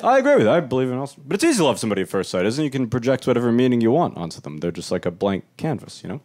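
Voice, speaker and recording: A young man performing a stand-up comedy set on a club stage, wry and animated, with laughter from the audience. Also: very fast. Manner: wry, animated, jumps into high-pitched voices for quoted people.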